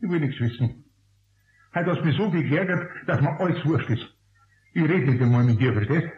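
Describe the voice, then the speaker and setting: A man playing a grumpy older craftsman in a radio play, gruff and dry, with a Bavarian accent. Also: a little high, animated, brisk, slight background noise, lightly acted. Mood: grumbling. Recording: dull, echoey old radio play recording.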